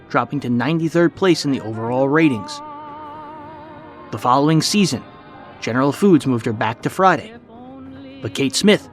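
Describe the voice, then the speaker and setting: A man giving scripted documentary narration as the narrator of a history podcast. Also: medium pitch. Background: music.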